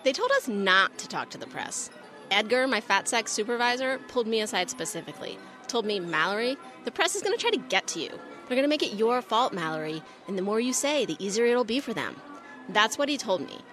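A brash young ride operator in an audio drama, rambling in sarcastic slang. The delivery is lively and swings widely in pitch. She sounds foul-mouthed and fed up with authority. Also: brisk, soft background music. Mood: indignant, defiant. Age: young adult. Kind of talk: audio drama monologue as a press interview.

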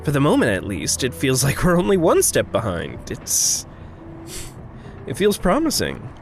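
A man playing an earnest, guarded traveler in a naturalistic audio drama, speaking in a hesitant, halting way. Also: medium pitch, huge pitch swings, faint music behind. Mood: hopeful, tentative.

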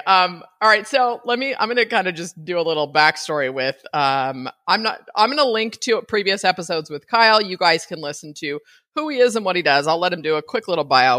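Animated, chatty woman podcast host. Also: fairly low pitch. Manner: chatty and animated, with frequent laughs and asides. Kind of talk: casual podcast conversation.

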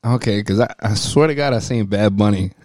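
A man with a lowish voice chats casually as a podcast co-host. He uses street slang and curses often. The recording is very dry. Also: fairly quick, darkish tone, dry recording. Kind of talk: casual chat about TV shows.

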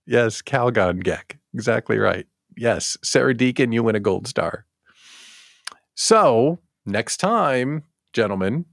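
A man with a mid-pitched voice hosts a casual live show in a dry, close sound. His delivery is theatrical and exaggerated, and his pitch moves up and down a great deal as he talks directly to his audience. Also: no room sound. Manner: animated, theatrical, with mock disbelief and exaggerated emphasis. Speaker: host of a daily live show for small business owners. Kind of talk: casual live monologue to viewers.

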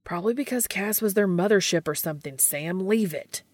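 A woman's voice: mid-range voice, a little fast, a little mellow, dry recording, clean studio sound, lightly acted. A woman reading the part of an irritable, easily flustered male hunter in an audio story, with blunt, grousing delivery. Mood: defensive, barking.

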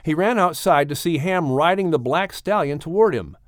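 Lively male audiobook storyteller, close and dry. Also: fairly high pitch, moderate pace, no room sound. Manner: expressive, theatrical delivery of a children's adventure. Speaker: audiobook narrator. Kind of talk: dramatic scripted storytelling.

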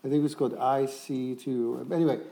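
A man's voice: mid-range voice, level tone, brisk, creaky, dark tone. A man on a discussion panel, recounting an anecdote in a rambling, storytelling way.

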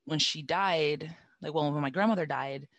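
Deep-voiced woman, reflective and halting. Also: no echo. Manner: reflective, halting, with many restarts. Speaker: guest singer. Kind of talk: casual interview chat.

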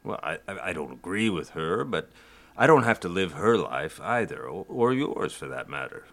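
Man acting a deep, swooping, pleading older father. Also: sing-song, fairly bright tone, exaggerated voice. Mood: persuasive, concerned. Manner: halting, stammering, earnest delivery. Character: doting, worldly older father, pleading and persuasive. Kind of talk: audio drama acting.

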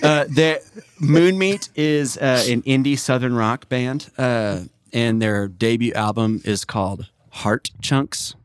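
A man's voice: mid-range voice, slow, hardly any pauses, smooth. An American man hosting a podcast in casual comedic banter, teasing and playfully laying down the rules. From Oklahoma City, United States. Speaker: podcast host.

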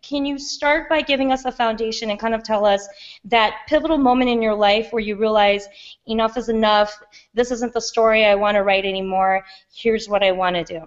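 A woman hosting a podcast interview in a bubbly, excitable way, her voice hoarse and quick to laugh. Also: on the high side, dull audio. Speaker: podcast host. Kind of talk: casual interview chat.

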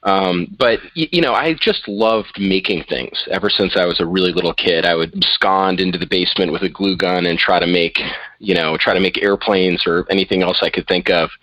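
Low-voiced man, dry lo-fi sound. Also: no room sound. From New England. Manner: relaxed, friendly, a bit halting. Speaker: guest, tech executive. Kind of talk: casual interview.

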